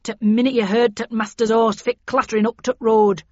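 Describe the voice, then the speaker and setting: A woman's voice: a little high, little pitch movement, brisk, warm tone, no room sound, lo-fi sound, close to an everyday voice. A woman voicing a sour old manservant who grumbles and rants in a Yorkshire accent. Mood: indignant ranting. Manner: grumbling dialect rant.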